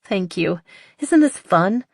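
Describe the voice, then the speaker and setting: Female reader as bubbly, enthusiastic young woman in a romance audiobook, lifted pitch, dry sound. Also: expressive, takes it slowly, darkish tone, no echo. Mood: happy, reminiscing. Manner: chatty and enthusiastic. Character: cheerful, eager, artsy young woman in love. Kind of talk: audiobook character dialogue.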